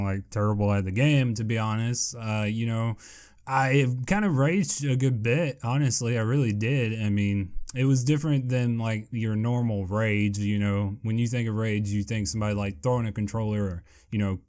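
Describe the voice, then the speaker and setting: A young man with a deep voice answering viewer questions in a casual, rambling way, full of fillers. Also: a little mellow. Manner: rambling, heavy with fillers and "I guess you could say". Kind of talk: casual Q&A chat.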